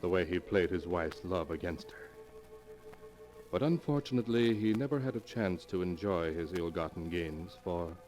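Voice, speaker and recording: Deep, sardonic mystery storyteller. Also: male voice, a little mellow, rough recording. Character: sardonic male storyteller of dark mystery tales. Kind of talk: 1940s radio horror narration.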